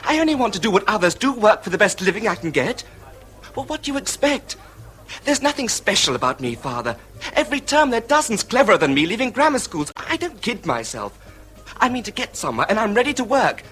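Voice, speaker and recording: An actor playing an earnest, ambitious young English son in a period radio drama, eager and insistent. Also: male voice, high-pitched, sing-song, fairly quick, fairly bright tone, soft background music. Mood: defiant. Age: young adult.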